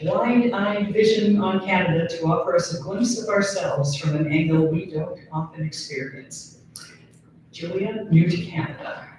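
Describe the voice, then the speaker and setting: High-voiced man introducing a reader. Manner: formal, measured introduction. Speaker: event host. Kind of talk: scripted introduction. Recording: echoey hall from far off, faint noise.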